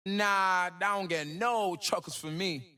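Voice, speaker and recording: A man's voice: high-pitched, unhurried, sharp tone, clean tone. A man voicing a cocky young rapper prodigy in a video game, delivering rhymed boasts with a swaggering, rhythmic flow. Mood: cocky taunting. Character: cocky young gifted male rapper prodigy, playful, proud. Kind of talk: rhymed, rap-like game voice lines.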